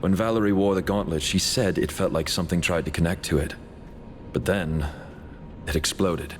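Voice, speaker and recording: A man's voice: deep voice, steady tone, normal speed, slight background noise, close to an everyday voice. A man playing a loyal, earnest comrade in a fantasy game, with a dramatic delivery. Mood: concerned. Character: loyal, grateful male comrade, earnest freed prisoner. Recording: loud music behind.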